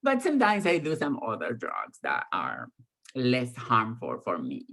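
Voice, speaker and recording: A middle-aged woman from Argentina with an Argentine Spanish accent, giving a candid, profane talk to an online audience over a video call, with many fillers and pauses. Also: extremely low pitch, huge pitch swings, vocal fry, fairly bright tone. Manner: mocks others in raised voices when quoting them. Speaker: guest speaker.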